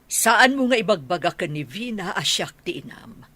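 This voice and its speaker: A woman's voice: on the low side, very animated, moderate pace, sharp tone, exaggerated voice. An actress with an Ilocano accent playing an older, scheming, insistent mother in a radio drama. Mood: calculating, insistent.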